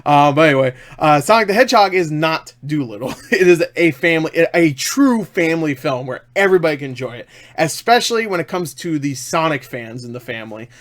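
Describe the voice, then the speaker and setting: Male online film reviewer, slightly high voice, enthusiastic, jokey, loose and rambling delivery. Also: expressive, fairly quick, few pauses, a bit bright. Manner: enthusiastic, jokey, self-interrupting asides. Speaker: online movie reviewer and host. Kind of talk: casual, rambling movie review to camera. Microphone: webcam setup.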